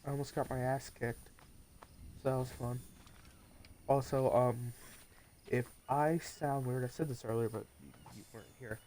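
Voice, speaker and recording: A man's voice: medium pitch, fairly even intonation, moderate pace, pauses often, mellow, slight background noise. A teenage male streamer with a congested, nasal voice, chatting casually and rambling as he plays. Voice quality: nasal, congested from post-nasal drip. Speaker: video game streamer. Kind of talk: casual chat while gaming on a livestream.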